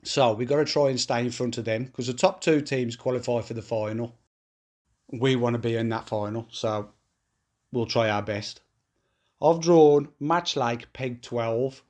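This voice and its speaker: A man's voice: medium pitch, a little mellow. A man with a blocked-up nose from a cold, explaining his fishing tactics step by step in a casual, matter-of-fact way, outdoors at a fishing lake. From England. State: congested from a cold. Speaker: match angler vlogging his match.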